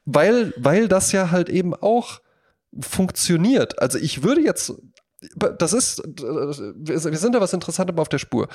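A man's voice: a little high, darkish tone. Talkative man chatting on a podcast. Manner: talkative, emphatic, jokey. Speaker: podcast co-host. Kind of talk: casual podcast chat.

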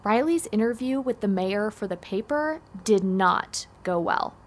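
A woman's voice: mid-range voice, lively intonation, unhurried. An actress playing a blunt, wary teenage girl in an audio drama, with a casual, skeptical way of talking. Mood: reluctant.

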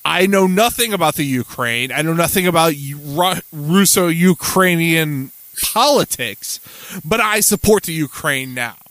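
A man's voice: on the high side, expressive, unhurried, a bit bright, no echo. A man co-hosting a casual political podcast, blunt and swearing, stumbling over hard words as he reads aloud. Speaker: podcast co-host.

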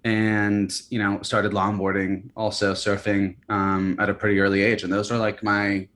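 Man, early 30s, interview guest, casual, hesitant, many fillers, low voice. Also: completely flat delivery, normal speed, fairly bright tone. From California and Hawaii. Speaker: guest, company founder.

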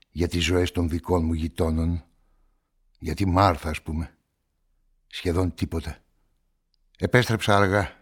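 A deep-voiced, brooding male narrator. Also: breathy edge. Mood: brooding, subdued. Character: brooding, solitary male newspaperman narrating a murder story. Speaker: narrator and protagonist of a radio play. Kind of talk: literary first-person radio drama narration.

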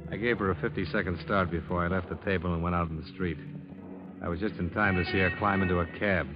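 A man's voice: low voice, fairly even intonation, fast, natural voice. An actor playing a weary, hard-boiled insurance investigator in a 1950s radio drama, terse and dry, narrating his case. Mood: dry narration. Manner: terse, dry, matter-of-fact. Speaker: lead actor and narrator of a detective serial. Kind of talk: 1950s radio detective acting, clipped narration. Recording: muffled old radio recording, music behind.

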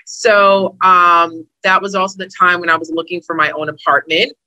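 Brooklyn woman in her thirties, very bright tone, dry close sound, chatty interview guest. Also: lowish voice, flat delivery, normal speed, no room sound, muffled recording. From Brooklyn, New York. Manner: friendly and chatty, with easy laughs. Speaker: guest, housing attorney. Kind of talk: interview conversation.

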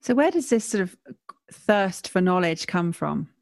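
A woman interviewing a guest, asking calm, reflective questions. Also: fairly low pitch, measured pace, creaky, dry recording, clean studio sound.

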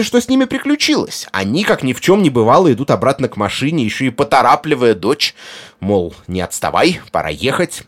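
A man presenting an explanatory video essay in an erudite, articulate way, with conversational asides. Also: mid-range voice.

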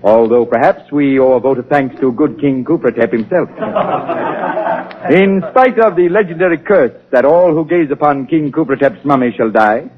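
An actor playing a learned, courteous archaeologist with formal diction in a 1930s radio drama. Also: male voice, fairly high pitch, moderate pace, natural voice. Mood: formal, gracious. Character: learned, courteous expedition director, male doctor of archaeology. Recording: tinny, band-limited 1930s radio.

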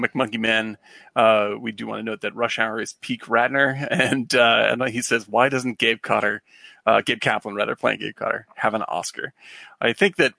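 A man's voice: fairly low pitch, vocal fry, bright, forceful tone, no echo. A man co-hosting a casual talk show, chatting in a jokey way with an easy laugh. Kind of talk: casual chat about old films and TV.

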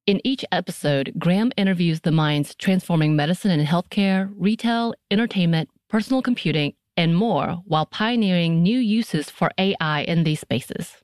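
An upbeat woman announcer reads a scripted ad in a conversational voice. Her voice sits slightly low, and the recording is close and dry. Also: normal speed, hardly any pauses, darkish tone, no room sound. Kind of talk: scripted commercial voice-over.

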